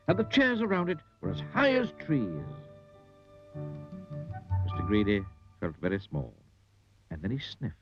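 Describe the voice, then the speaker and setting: Male narrator for animated children's tale. Theatrical, extremely animated delivery, slightly dull older-sounding audio. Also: on the low side. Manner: theatrical, drawn-out emphasis on words. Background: music.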